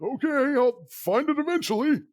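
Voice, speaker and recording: A man playing a hungry, apologetic gnome stranded alone, speaking haltingly in a comedic audio skit. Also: very high-pitched, lively intonation, slight background noise. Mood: pleading. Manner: halting, hesitant.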